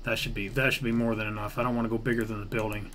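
A man's voice: fairly low pitch, little pitch movement, racing, fairly bright tone, slight background noise. A man giving casual let's-build gaming commentary, rambling and thinking aloud as he talks. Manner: rambling, half-muttering counts, mild swearing. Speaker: gaming video creator narrating his own build series. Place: indoors at a computer.

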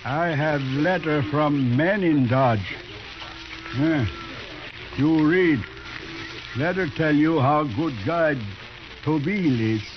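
Proud old scout, halting broken English. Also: male voice, on the high side. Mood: dignified, hopeful. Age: older. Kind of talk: 1950s radio western acting. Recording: dull, hissy 1950s radio recording.

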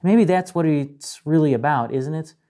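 Mellow-voiced pastor preaching with dramatic pauses. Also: male voice, on the high side. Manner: measured, dramatic pauses and emphasis. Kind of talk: sermon. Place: indoor room with a painted mural, speaking to camera.

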